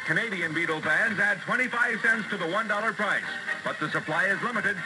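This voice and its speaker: A man hosting as a 1960s Top 40 radio DJ, excitable and hammy, given to comic complaining, on an old AM radio recording. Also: high-pitched, fairly quick, poor audio. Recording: old AM radio aircheck over loud music. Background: loud music.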